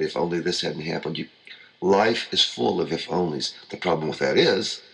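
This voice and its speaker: A man's voice: extremely low pitch, fairly bright tone. A middle-aged man hosting a motivational talk show, speaking in an earnest, heartfelt way and repeating his points for emphasis.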